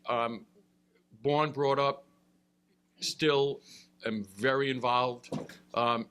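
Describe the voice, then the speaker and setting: A middle-aged man talks on a panel. He is relaxed and halting, with plenty of ums and restarts, and his voice sits at an ordinary pitch. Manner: relaxed, halting with many fillers. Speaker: state senator. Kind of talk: panel remarks.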